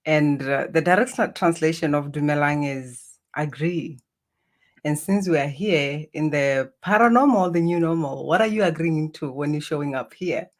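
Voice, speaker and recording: A woman's voice: deep voice, normal speed. A middle-aged woman from Botswana with a Botswana accent, a healer talking earnestly as a guest over a video call, often laughing. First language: Setswana. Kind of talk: reflective interview talk.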